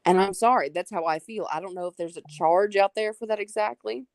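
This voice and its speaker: A woman's voice: medium pitch, brisk, darkish tone, dry recording, studio quality. A woman with a Southern US accent, talking earnestly and apologetically in a casual online group chat. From Southern United States. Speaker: call-in guest.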